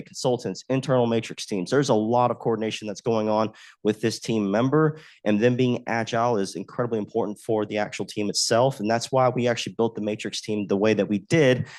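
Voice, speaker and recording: Low-voiced man presenting, under the weather. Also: darkish tone. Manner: rambling, leans on "effectively" and "specifically". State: sick, a bit hoarse. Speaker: state aviation manager presenting. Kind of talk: conference presentation. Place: meeting room at a podium. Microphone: podium mic.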